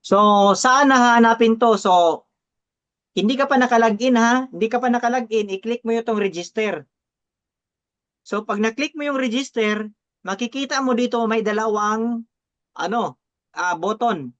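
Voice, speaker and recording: A man's voice: very high-pitched, normal speed, fairly bright tone. A man coaching through a live step-by-step tutorial, often checking understanding with short tags, on dull lo-fi audio. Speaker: coach and presenter.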